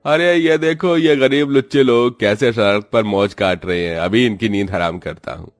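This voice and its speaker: A man's voice: on the low side, normal speed, dry recording, studio quality, soft background music, voice pushed up, natural voice. A man voicing a cruel, taunting policeman who barks threats in a cartoon story. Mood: gloating, threatening. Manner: barking, taunting. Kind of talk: Hindi cartoon story acting.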